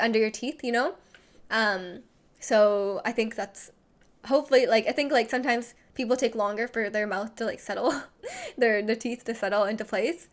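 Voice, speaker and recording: A young woman streamer with a slight lisp, chatting casually and rambling with lots of "like" while reading chat messages. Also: a little high. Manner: rambling, heavy use of "like".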